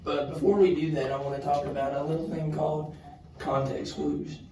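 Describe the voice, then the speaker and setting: A man's voice: a little high, steady tone, normal speed, noisy background. A young man teaching as a student teacher, giving casual instructions in a classroom. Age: young adult. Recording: very echoey room, distant mic.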